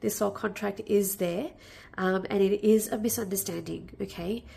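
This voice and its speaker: Woman tarot reader, nasal and croaky from a cold, gravelly, gently reassuring. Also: medium pitch, steady tone, normal speed. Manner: warm, reassuring, with many fillers and restarts. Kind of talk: casual spoken tarot reading.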